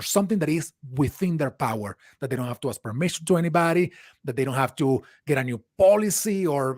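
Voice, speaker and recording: A man's voice: on the high side, a little mellow, no echo, clean studio sound. A man hosting a live interview chat, asking long, earnest, reflective questions with frequent restarts. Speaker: host.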